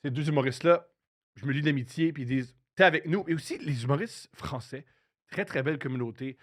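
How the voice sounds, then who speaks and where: A male comedian with a Québécois French accent, excitedly telling an anecdote in a casual podcast chat. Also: a little high, quick pace, dry recording, clean studio sound. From Quebec. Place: recording studio.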